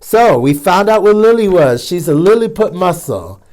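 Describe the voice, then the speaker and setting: A man's voice: high voice, very animated, moderate pace, a little mellow. A man hosting a children's storytime, reading a picture book aloud with playful asides to young listeners. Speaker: storytime host.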